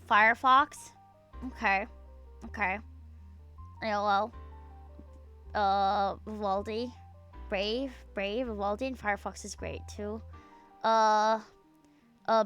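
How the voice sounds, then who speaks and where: Bright-voiced young woman rambling on stream. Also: on the high side. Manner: rambling, profane, filler-heavy, trails off mid-sentence. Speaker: VTuber streamer. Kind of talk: casual stream chat, reading chat aloud.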